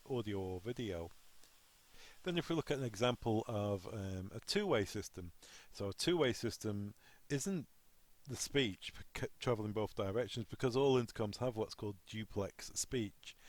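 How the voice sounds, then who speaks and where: Low-pitched man giving technical training. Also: darkish tone. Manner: explanatory, step-by-step. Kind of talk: instructional product walkthrough.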